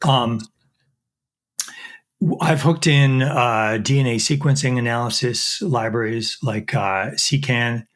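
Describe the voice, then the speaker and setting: A middle-aged man speaks in a thoughtful, halting way, full of fillers and false starts as he works through technical explanations. His pitch sits in an ordinary middle range. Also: fairly bright tone. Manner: thoughtful, frequent fillers and restarts. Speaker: guest, chemistry professor. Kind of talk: technical interview.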